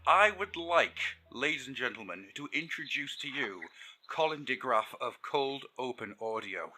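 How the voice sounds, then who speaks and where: A man co-hosting a podcast in an exuberant, theatrical way that bursts with energy. His tone is very bright and forward, and he laughs often between lines. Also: medium pitch, animated, moderate pace, slightly rough. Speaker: podcast co-host. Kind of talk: casual banter.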